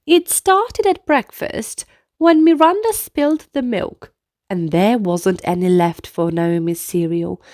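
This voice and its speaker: A woman's voice: medium pitch, animated, slow, darkish tone, no room sound, studio quality. A woman narrating a children's storybook and guiding young listeners through dance movements in an instructive manner. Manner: instructive, clearly paced delivery for young listeners.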